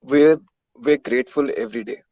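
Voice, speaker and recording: Casting: male musician guest, Indian accent, mid-pitched voice, dry recording, candid conversational storytelling. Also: moderate pace, a little mellow, no room sound. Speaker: musician being interviewed. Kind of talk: casual interview storytelling.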